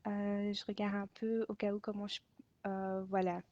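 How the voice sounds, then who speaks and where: A woman's voice: mid-range voice, little pitch movement, normal speed, mellow. A woman hosting a casual live stream, talking hesitantly with lots of fillers and restarts. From Belgium. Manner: hesitant, many fillers and restarts, frequent laughter. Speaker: laughter yoga host. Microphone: added microphone. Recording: dull lo-fi live stream audio.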